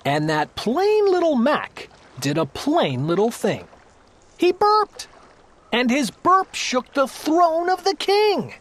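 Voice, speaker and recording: A woman narrating a children's storybook aloud, reading the verse in an expressive, emphatic, theatrical way. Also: high voice, slow.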